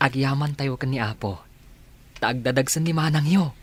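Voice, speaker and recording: An actor with an Ilocano accent playing an affectionate young elder brother in a radio drama. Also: male voice, medium pitch, takes it slowly. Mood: warm, pleased.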